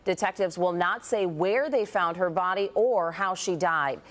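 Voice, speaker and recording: A woman anchoring a local morning TV newscast, reading stories clearly and steadily. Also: lowish voice, unhurried. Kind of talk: scripted news reading.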